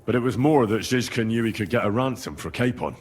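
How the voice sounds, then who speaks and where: Husky plain-spoken young squire. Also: male voice, fairly low pitch, expressive, fast, in a large hall. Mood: earnest recounting. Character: plain-spoken young male medieval squire recounting his misadventures. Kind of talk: dramatic video game dialogue.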